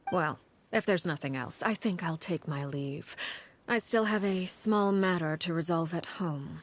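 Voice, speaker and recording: An actress playing a calculating woman of authority in a video game, speaking in a measured, formal, guarded way with dry wit. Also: lowish voice. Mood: cool, wry. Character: cool, calculating, commanding adult woman of authority. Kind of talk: anime-style video game voice acting. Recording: music faintly behind the voice.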